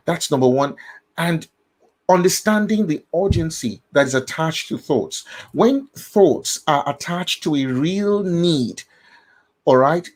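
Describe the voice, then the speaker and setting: A middle-aged man with a Nigerian accent, hosting a talk and explaining ideas about the brain, repeating key phrases and checking in with his listener. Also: fairly high pitch. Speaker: host.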